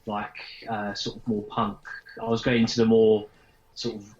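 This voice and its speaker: A man's voice: fairly low pitch, monotone, normal speed, darkish tone. A man, a musician in a casual interview, rambling loosely through his answers. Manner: rambling, heavy hedging with kind of. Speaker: band member being interviewed. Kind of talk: casual chat. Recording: dull lo-fi remote sound.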